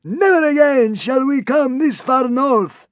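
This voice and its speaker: A man's voice: extremely high pitch, a little slow, no echo, dull audio, voice pushed up. A man reading aloud, voicing a frightened fat Roman consul who stammers and pleads. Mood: pleading.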